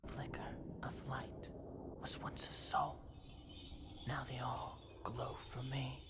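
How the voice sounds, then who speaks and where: A sinister soul collector for a voice demo. The voice is a breathy, creaking whisper, drawn out very slowly. It stays serene and hushed while menacing. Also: medium pitch. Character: eerie soft-spoken collector of souls, sinister and serene. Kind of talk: voice acting demo reel.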